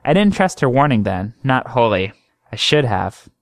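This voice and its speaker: A man's voice: mid-range voice, sing-song, moderate pace, no echo. A man voicing an earnest young man who explains his strange dreams in a thoughtful, reasoning way.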